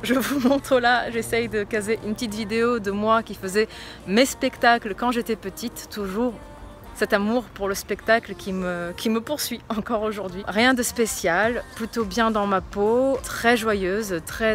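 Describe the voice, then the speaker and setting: A woman's voice: mid-range voice, steady tone, unhurried, soft background music. A young woman from Portugal with a light northern Portuguese accent, a fitness coach telling her own story in a chatty vlog, with frequent fillers.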